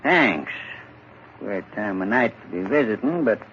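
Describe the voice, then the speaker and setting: An actor playing an easygoing rural village constable in a 1940s radio drama, folksy and unhurried. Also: male voice, on the low side, expressive, fairly bright tone. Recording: dull old radio recording, faint hiss.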